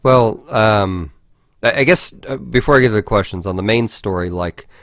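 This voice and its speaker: Lowish-voiced man, dry close sound. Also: normal speed, fairly bright tone, no room sound. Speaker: podcast host. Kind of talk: casual video game discussion.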